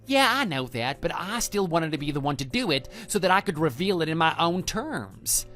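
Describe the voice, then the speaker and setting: Male actor as brash young man, flippant, evasive, raised pitch, animated intonation, audio drama, music behind. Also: normal speed. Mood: annoyed. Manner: flippant, defensive. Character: brash, evasive young male former student, cocky and unrepentant. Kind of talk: scripted audio drama dialogue. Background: background music.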